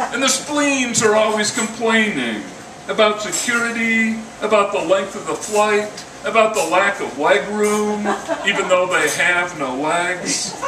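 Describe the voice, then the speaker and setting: An older man giving a live poetry reading with casual introductions, in a wry, dryly humorous manner. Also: sits high. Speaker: poet reading own work. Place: indoor coffee house reading. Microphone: stand microphone, recorded by camera from a distance. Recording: roomy, distant mic, noisy venue.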